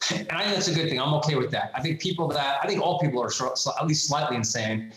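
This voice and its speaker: A man's voice: medium pitch, fast talker, fairly bright tone. A man in his forties with a faint New York accent, a guest entrepreneur answering interview questions in a chatty, candid way. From New York, USA. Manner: chatty, candid, laughs easily. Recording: very echoey room.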